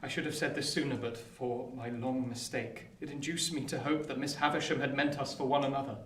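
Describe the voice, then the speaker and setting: A man acting an earnest young gentleman sick with love, in a radio drama. His bright, forceful voice pleads formally, heard a little far off in an echoing room. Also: medium pitch, steady tone, fairly quick, close to an everyday voice. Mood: tender, pained. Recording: echoey, distant, noisy room.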